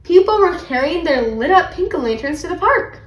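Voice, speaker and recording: A woman reading a children's story aloud in an animated, expressive way. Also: very high-pitched, moderate pace, roomy sound, a little hiss or hum. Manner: animated, acts out character dialogue. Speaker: read-aloud channel host.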